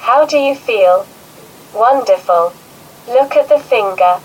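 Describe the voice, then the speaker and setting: A woman voicing a calm, reassuring male doctor in an audiobook, speaking softly. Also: fairly high pitch, slow, lo-fi sound, a little hiss or hum.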